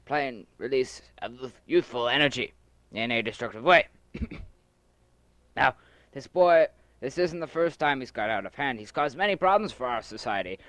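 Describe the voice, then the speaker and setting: A man's voice: medium pitch, a bit bright, no echo, natural voice. Man playing a stern, rambling accuser. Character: Bruticus, stern male elder accusing a youth. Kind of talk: amateur audio drama.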